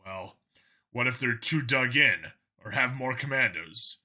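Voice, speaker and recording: Audiobook role: weary human lawman, voiced by a man, skeptical, plain-spoken, dry wit, lower register. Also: lively intonation, measured pace, bright, forceful tone, heavily acted. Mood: wary. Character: weary, blunt human male cop, skeptical and questioning. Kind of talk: audiobook character dialogue.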